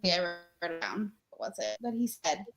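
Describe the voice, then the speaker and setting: A woman's voice: medium pitch, normal speed, vocal fry, a bit bright, faint music behind. A woman co-hosting a casual book chat, speaking conversationally over a remote call. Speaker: co-host of a book discussion. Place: remote video call with unstable internet.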